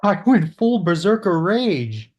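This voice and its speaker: Guy reading a chill sheepish bruiser, lively voice. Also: high-pitched, slow, full character voice. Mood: offhand.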